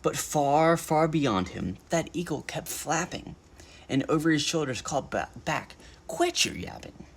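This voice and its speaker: A man reading a children's storybook aloud in an animated narration style, as a bedtime story livestreamer. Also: fairly high pitch, unhurried, gravelly, a little hiss or hum.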